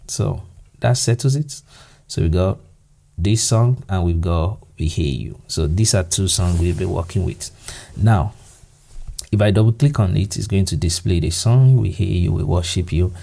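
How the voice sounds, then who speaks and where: A man from Nigeria with a Nigerian accent, a Yoruba speaker, walking through software steps in a relaxed voice-over, thinking aloud with fillers and restarts. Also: deep voice, moderate pace, creaky. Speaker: software tutorial presenter. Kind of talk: screen-recorded software tutorial. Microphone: voice-over to screen recording.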